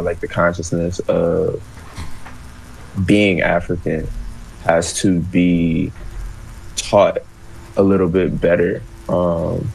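Very deep gravelly young man. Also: slight background noise, low-quality recording. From Washington, D.C. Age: young adult. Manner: relaxed, lots of "like" and "um" fillers. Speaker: phone-in guest. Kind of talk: casual phone conversation.

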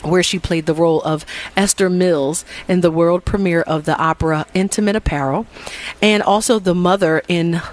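A woman's voice: on the low side, steady tone, moderate pace. A woman interview host who introduces guests and asks questions in an upbeat, enthusiastic, friendly way.

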